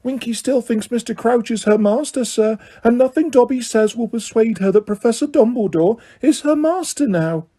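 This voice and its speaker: Guy doing a timid little elf, high pitched, eager and nervous, warm tone. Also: normal speed, few pauses. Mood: earnest. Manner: high, earnest, anxious, broken grammar. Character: timid loyal male house elf, small. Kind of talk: audiobook character voice.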